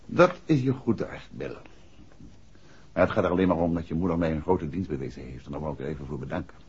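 A courteous, shrewd detective in a 1960s radio drama, with a Netherlands Dutch accent. The voice is very hoarse-grained and moves animatedly in pitch. He probes politely but directly, and the old recording is dull. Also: lively intonation, fast, darkish tone, exaggerated voice. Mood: probing, direct. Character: shrewd, courteous gentleman detective investigating a case.

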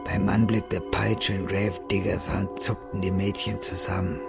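A detached crime narrator with a low, creaky voice. He delivers scripted radio drama narration over loud music. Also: little pitch movement, normal speed. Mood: detached, narrating.

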